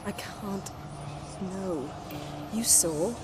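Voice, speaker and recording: An actress playing a stern, weary but caring sorceress in a fantasy video game, speaking in clipped, formal, archaic phrases. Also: female voice, medium pitch, drawn-out words, noisy background. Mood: grave, weary. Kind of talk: dramatic video game voice acting. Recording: loud music over dialogue.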